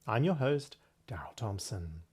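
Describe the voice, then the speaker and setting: A man hosting a software tutorial, explaining things step by step in a conversational way. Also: on the low side, sing-song, a little slow, husky. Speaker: host of tech tutorial series.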